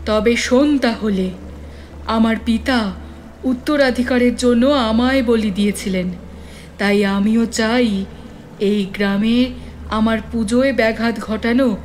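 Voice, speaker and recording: A vengeful goddess of horror audio drama, acted by a woman, demands blood. Her voice is fairly high and slow, each threat deliberately placed, with faint ambient noise around it. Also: fairly even intonation, a little mellow. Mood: menacing, vengeful. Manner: menacing, slow, deliberate delivery.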